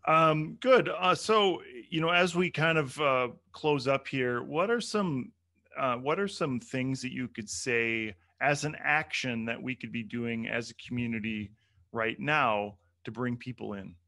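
Bright-voiced friendly male podcast host. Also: mid-range voice, unhurried. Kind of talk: casual interview chat.

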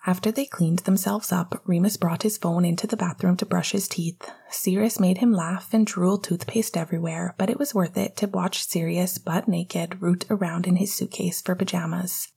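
A woman narrating a story in a soft, intimate whisper. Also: fairly low pitch, steady tone, normal speed, gravelly, few pauses, breathy edge. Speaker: audiobook narrator.

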